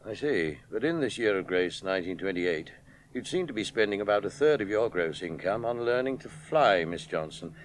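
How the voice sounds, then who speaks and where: A man's voice: on the low side, expressive, moderate pace, a little hiss or hum, lightly acted. An actor playing a prim, sardonic male flight instructor who speaks in a clipped, condescending way, in a 1970s radio drama. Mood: probing.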